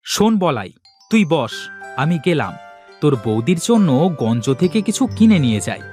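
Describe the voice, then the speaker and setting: A man's voice: a little high, very animated, normal speed, darkish tone, soft background music. A man voicing a scheming, bossy village husband in a comedy cartoon, boastful and prone to self-pity. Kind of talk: Bengali comedy cartoon dubbing.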